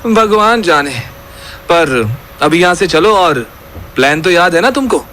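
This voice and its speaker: A man's voice: on the high side, big pitch swings, measured pace, bright, forceful tone, a little hiss or hum, full character voice. A man playing an anxious young son among friends in an audio horror drama. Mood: urgent.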